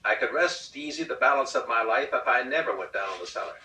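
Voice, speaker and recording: A man's voice: medium pitch, lively intonation, fast talker, pushed and bright, pitched above the performer's own voice. A man voicing a stubborn, scornful wife in a comic short-story reading, curt, dismissive and sarcastic. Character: stubborn, scornful, nagging wife who refuses to budge. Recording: roomy, distant rehearsal recording.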